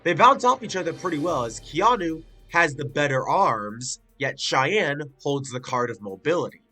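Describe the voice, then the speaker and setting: A man with a stuffy, congested nose talks through a casual review, chatty and loose with his filler words. His voice sits a little high and comes out bright and forward. Soft music plays under him. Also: expressive, moderate pace, husky. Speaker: video reviewer.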